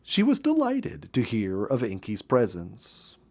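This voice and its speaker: A man's voice: fairly low pitch, wildly swooping pitch, creaky. Man narrating a storybook expressively. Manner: measured, dramatic storytelling. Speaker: audiobook narrator.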